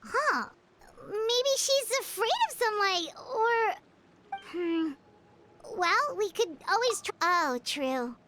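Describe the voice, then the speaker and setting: A woman voicing a small, chirpy floating fairy girl companion in a video game, with a squeaky childlike voice. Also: animated, takes it slowly, bright, forceful tone, slight background noise, heavily acted. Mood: curious, excitable. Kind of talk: scripted video game voice acting.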